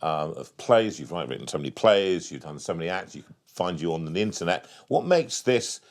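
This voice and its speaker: A man interviewing in a conversational style, earnest and halting, repeating words for emphasis. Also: low voice, very animated. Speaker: interviewer, host.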